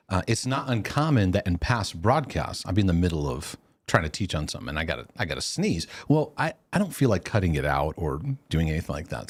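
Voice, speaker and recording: A man's voice: low voice, husky, no room sound. A middle-aged man hosting a live question-and-answer stream, congested and sneezing, talking in a rambling, self-interrupting way with laughs. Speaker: host.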